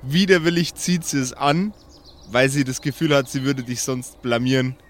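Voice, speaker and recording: A man narrating an improvised pen-and-paper story as game master, measured, with dramatic pauses. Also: mid-range voice, steady tone.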